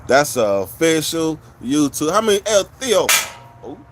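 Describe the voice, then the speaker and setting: A man talks to his stream viewers in a casual, rambling way, full of street slang and cursing. His voice sits a little higher than most men's. A faint hiss of outdoor noise runs under it. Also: very animated, unhurried. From California. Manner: blunt, profane street slang, rambling storytelling. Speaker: livestream host. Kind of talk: casual livestream chat with viewers.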